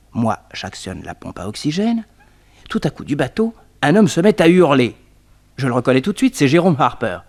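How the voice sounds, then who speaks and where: An eager detective's assistant, with a medium-pitched voice. He tells the tale in lively, suspenseful old French radio serial style. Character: detective's eager male sidekick telling the adventure. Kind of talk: 1960s radio serial scripted narration.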